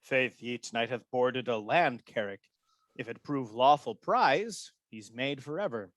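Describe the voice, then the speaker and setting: A man playing a scheming soldier ensign in an amateur Shakespeare radio play, speaking verse in a measured theatrical way. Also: mid-range voice, animated, fairly bright tone, no echo. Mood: wary. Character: scheming soldier ensign, slyly loyal to his general. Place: home, recorded over a video call.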